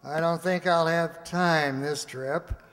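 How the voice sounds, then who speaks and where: A man acting a slow, coaxing government agent. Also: on the high side, steady tone, a bit bright. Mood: awkward, chatty. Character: smooth, coaxing male government agent plying a guest. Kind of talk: radio play acting. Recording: reverberant hall.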